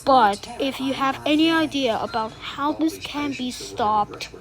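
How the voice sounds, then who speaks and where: A woman's voice: high-pitched, a little slow. A woman delivers a scripted emergency broadcast announcement as an alert announcer, in a formal, solemn manner.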